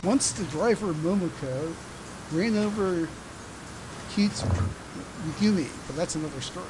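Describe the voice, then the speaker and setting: A podcast host with a very animated delivery chats casually about fandom. The talk is halting, often searching for the next word, and the amateur recording is clearly noisy. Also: medium pitch. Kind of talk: casual chat about pop idol fandom.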